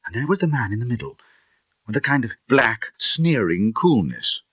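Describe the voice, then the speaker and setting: A man voicing an indignant Victorian gentleman with a husky British voice, telling a grim tale in an emphatic, theatrical audiobook style. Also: mid-range voice, very animated, moderate pace, no echo. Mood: vivid, indignant. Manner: emphatic, theatrical, conversational asides. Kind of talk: dramatised audiobook storytelling.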